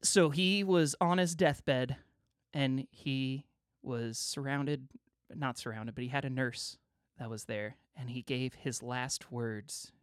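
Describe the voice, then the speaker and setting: A man's voice: medium pitch, takes it slowly, clear, resonant tone, no echo, studio quality. A man co-hosting a podcast, chatting in a jokey, rambling way with lots of asides. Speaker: podcast co-host. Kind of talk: casual podcast banter.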